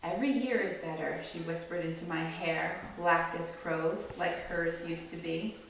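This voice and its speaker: A woman reading a picture book aloud to children as a storyteller, with animated delivery that acts out the quoted lines. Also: on the low side, a little slow, vocal fry. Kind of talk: expressive read-aloud storytelling. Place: echoey indoor room with children listening. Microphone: far from the reader. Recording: distant mic, very echoey room, faint noise.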